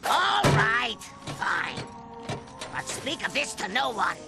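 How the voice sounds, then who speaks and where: Irritable little villain doctor, very high shrill pitch, gravelly creak, snide, game cutscene, loud music. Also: male voice, big pitch swings, slow pace, full character voice. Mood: grudging, snappish. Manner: snide, snappish. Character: small, irritable, indignant male villain doctor.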